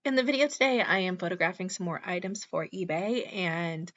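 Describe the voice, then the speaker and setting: A woman in her thirties hosting her own channel, chatting casually in a voice-over, her voice slightly hoarse after being sick, with frequent ums. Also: on the low side, brisk, fairly bright tone, muffled recording. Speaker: channel host, reseller. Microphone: voice-over mic.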